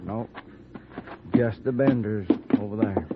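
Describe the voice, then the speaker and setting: A man's voice: fairly low pitch, slow pace. A man playing a wary small-town western sheriff in an old radio drama, with a folksy American drawl. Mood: uneasy, placating. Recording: muffled, noisy old radio recording.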